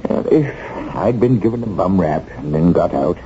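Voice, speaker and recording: An actor playing a kindly, plain-spoken American prison guard with a folksy, informal manner in a 1970s radio drama. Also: male voice, low-pitched, expressive, moderate pace, warm tone. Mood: doubtful, sympathetic. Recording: dull, noisy vintage radio recording.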